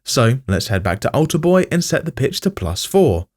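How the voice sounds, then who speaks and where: A man's voice: mid-range voice, very animated, normal speed, a little mellow. A man narrating a scripted music production tutorial as its host.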